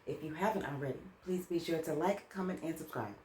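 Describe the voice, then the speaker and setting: A woman's voice: sits low, brisk, noisy background. A woman vlogging at home in a casual, chatty way, talking straight to her viewers and still a little congested from a cold. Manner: chatty, addresses viewers as "girl". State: slightly congested from a lingering cold. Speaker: vlogger and author. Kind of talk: casual chat to camera. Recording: roomy echo.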